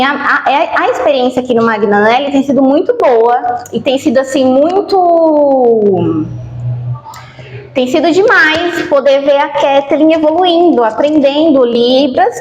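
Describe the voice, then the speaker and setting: High-voiced Brazilian woman, halting delivery. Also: a little mellow, slight background noise. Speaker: sign language interpreter at a school. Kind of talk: spoken testimonial in a school podcast. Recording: roomy echo.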